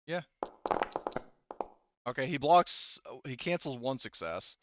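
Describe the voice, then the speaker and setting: A man's voice: medium pitch, very animated, takes it slowly, no echo, muffled recording. A man acting as game master in a tabletop roleplaying game, thinking aloud through rules and dice math.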